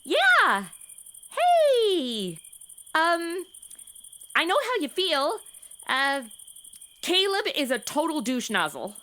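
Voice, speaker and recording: Female actor as self-absorbed modern American young woman, western comedy podcast. Bright, forceful, lively swings, blurts slang. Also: very high-pitched, drawn-out words, pauses often, full character voice. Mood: sarcastic, oversharing. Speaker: lead actor in a comedy audio drama podcast. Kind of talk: comedic radio-play acting.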